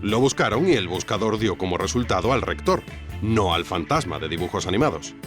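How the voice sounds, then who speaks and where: An actor playing an earnest, self-satisfied investigative podcast host, narrating a mock documentary. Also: male voice, fairly low pitch, lively intonation, fairly quick, over music, natural voice. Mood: earnest, intrigued.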